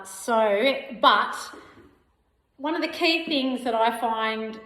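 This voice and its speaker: Slow-talking woman in her forties, roomy sound. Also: medium pitch, fairly bright tone. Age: 40s. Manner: unhurried, chatty, frequent ums. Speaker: running vlogger. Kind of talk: casual vlog to camera. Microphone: camera mic without a clip-on mic. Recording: roomy echoey recording.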